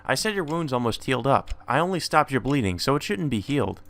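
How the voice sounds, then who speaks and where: A man's voice: mid-range voice, sing-song, fast talker, vocal fry, fairly bright tone. A man reading visual novel dialogue aloud and adding casual, informal commentary of his own. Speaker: let's player.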